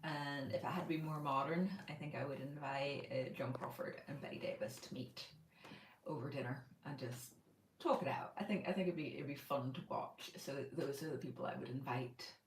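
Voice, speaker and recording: A woman's voice: very deep voice, normal speed, roomy sound, recorded from a distance, a little hiss or hum, low-quality recording. A woman from Northern Ireland, raised in Spain, hosting a casual book-channel livestream from home and thinking aloud with plenty of fillers. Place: home room by her bookshelf.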